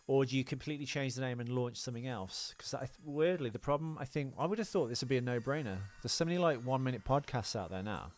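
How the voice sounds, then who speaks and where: A man's voice: mid-range voice, quick pace, few pauses. A man co-hosting a podcast, chatting casually about film trivia in a bantering, teasing way. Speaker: podcast co-host.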